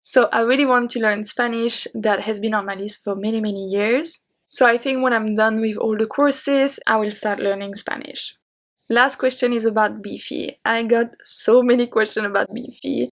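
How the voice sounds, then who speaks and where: A woman's voice: fairly high pitch, fairly even intonation, normal speed. Woman, 30s, French accent, native French. Chatty YouTube host answering questions. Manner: chatty and open, with light laughs. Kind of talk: casual Q&A talking to camera.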